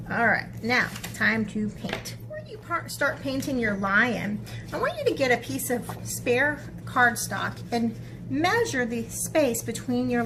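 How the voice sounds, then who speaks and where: A woman teaching an art project to children step by step, chatting casually, thinking aloud and checking in with little tag questions, with clear background noise. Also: on the high side.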